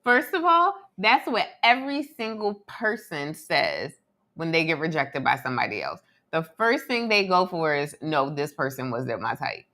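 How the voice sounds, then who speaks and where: A woman's voice: deep voice, very animated, moderate pace, pushed and bright. A woman in her twenties co-hosting a podcast, blunt and emphatic in her opinions. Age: 20s. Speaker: podcast co-host. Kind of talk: casual commentary chat.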